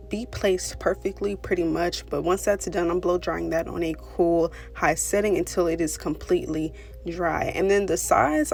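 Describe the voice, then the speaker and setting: A young woman with a raspy voice, talking casually through a hair tutorial voice-over, full of "you know" and "pretty much". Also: fairly low pitch. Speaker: beauty vlogger. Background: soft background music.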